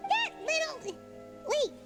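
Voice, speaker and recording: A woman voicing a small, excitable, suspicious childlike creature in a video game, squeaky and exclaiming. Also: slow, exaggerated voice. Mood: indignant, suspicious. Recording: loud music under the voice.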